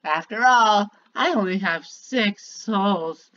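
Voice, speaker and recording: A woman's voice: mid-range voice, takes it slowly, a bit bright, dull audio. A woman voicing a small, menacing talking flower from a game, theatrical and mocking, swinging between cutesy and cruel. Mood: sinister taunting. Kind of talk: dramatic villain monologue from a game.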